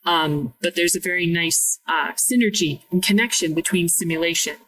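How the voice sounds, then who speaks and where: A woman in her thirties, from Minnesota, explains technical work in a measured, clear way. Her voice sits a little low for a woman. She sounds slightly distant from the microphone. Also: fairly bright tone. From Minnesota, United States. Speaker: guest, materials scientist. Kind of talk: interview answers.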